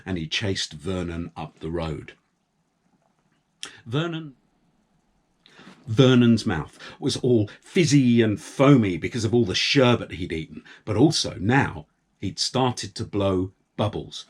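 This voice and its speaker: A man's voice: on the low side, lively intonation, husky. A man telling a story to young children in a playful way, drawing out and repeating words. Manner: playful, with drawn-out words and repetitions.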